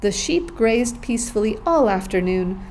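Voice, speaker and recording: A woman's voice: a little high, lively intonation, normal speed, darkish tone. A woman narrating a scripted children's story as the narrator of a puppet show.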